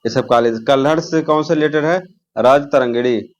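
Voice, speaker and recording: A man's voice: mid-range voice, normal speed. Man teaching, question by question. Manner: didactic, asks questions then answers them, repeats key terms. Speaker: exam coaching teacher. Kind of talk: question-and-answer exam lesson.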